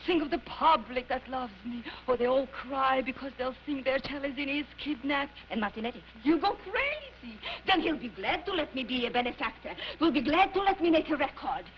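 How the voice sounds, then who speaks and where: Tantrum-prone opera diva, very high voice, swooping delivery, theatrical old comedy film, muffled noisy soundtrack. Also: female voice, animated, fairly quick. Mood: gleeful. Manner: theatrical, wailing, shrieking tantrums. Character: vain, hot-tempered, melodramatic female opera diva.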